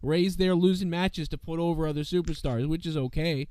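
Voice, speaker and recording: A man's voice: fairly high pitch, fairly quick, mellow, clean tone, dry recording. A male podcast host who is sick and losing his voice, talking casually and profanely in a rambling way. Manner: rambling, peppered with "you know what I mean". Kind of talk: casual profane show commentary.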